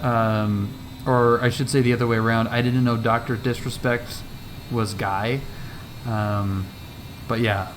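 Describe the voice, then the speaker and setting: A man chatting casually on a flight sim livestream, speaking haltingly and trailing off between remarks and radio calls. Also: medium pitch, little pitch movement. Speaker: flight sim streamer and pilot. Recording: clear cockpit noise behind voice.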